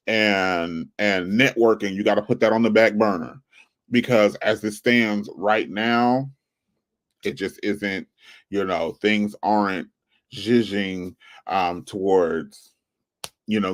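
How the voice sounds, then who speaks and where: Earnest man in his forties giving advice. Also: lowish voice. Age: 40s. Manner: earnest, repetitive, preachy coaching tone. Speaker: channel host. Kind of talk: casual livestream advice talk.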